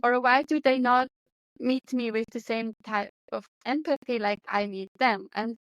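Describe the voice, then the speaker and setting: A woman's voice: fairly high pitch, steady tone, measured pace, no room sound, rough recording. A woman with a Swiss accent in her thirties, talking as an interview guest in an earnest, searching way with restarts. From Switzerland. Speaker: guest, medium and coach. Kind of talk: casual interview conversation.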